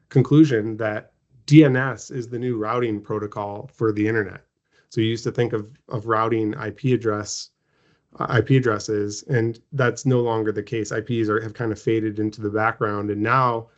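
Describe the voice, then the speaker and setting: A man's voice: medium pitch, muffled recording. A man hosting a podcast, talking in an enthusiastic, conversational way. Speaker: podcast host. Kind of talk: podcast interview.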